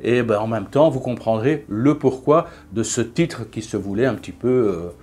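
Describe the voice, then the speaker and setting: Vlogger in his fifties, chatty and halting, mid-pitched voice. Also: expressive, a little mellow, husky. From Belgium. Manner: chatty, hesitant with frequent fillers and restarts. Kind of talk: casual vlog monologue.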